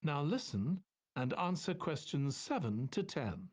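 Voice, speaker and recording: A man reading scripted exam instructions as a narrator, in a measured announcing style. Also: fairly high pitch, very animated, a little mellow.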